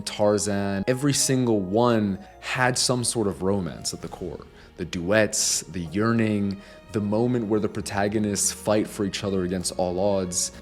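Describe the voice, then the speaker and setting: A young adult man narrating a scripted video essay in an earnest, opinionated and nostalgic way. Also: on the low side.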